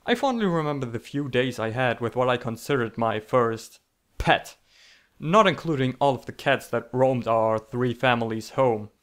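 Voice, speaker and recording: A man's voice: medium pitch, expressive, moderate pace. A man telling comedic scripted stories in a theatrical, sarcastic, mock-dramatic manner. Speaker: storytelling video creator.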